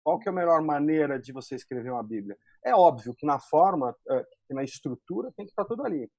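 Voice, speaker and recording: A man's voice: fairly high pitch, animated, quick pace, a little mellow, no room sound, highs cut off. A man with a Brazilian accent talking colloquially as a screenwriter on a panel. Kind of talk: casual, colloquial panel talk.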